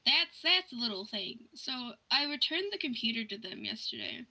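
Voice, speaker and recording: A young woman with a very bright, piercing tone and a fairly high pitch. She tells stories in a casual, animated rant, slipping into quoted back-and-forth as she goes. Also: normal speed, poor audio. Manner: animated ranting, quoting conversations in dialogue. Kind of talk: casual storytelling chat.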